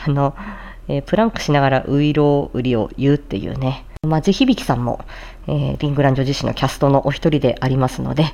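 A woman's voice: a bass voice, steady tone, fast, vocal fry, dark tone. A woman voice performer presenting a practice show, explaining and demonstrating recitation with attention to articulation. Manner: articulation-focused, demonstrating and explaining tricky phrases. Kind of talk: casual explanatory talk with formal script recitation.

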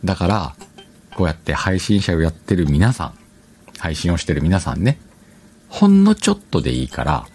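A man's voice: deep voice, sing-song, normal speed, a little mellow, breathy edge, faint music behind. A man hosting a casual online radio show, chatting colloquially and addressing listeners directly. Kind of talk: casual solo talk and advice to streamers.

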